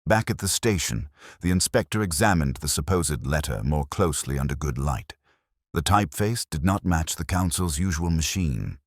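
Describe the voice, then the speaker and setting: A man with an extremely low voice narrates a mystery story in a measured, literary way. His pitch moves in lively swings, and the sound is close and dry with no room on it. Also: no echo.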